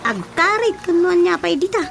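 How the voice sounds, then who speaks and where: High-voiced polite Ilocano village woman by an actress. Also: sing-song, normal speed, gravelly, slight background noise, full character voice. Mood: worried. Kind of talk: Ilocano radio drama acting. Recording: radio drama with loud music bed.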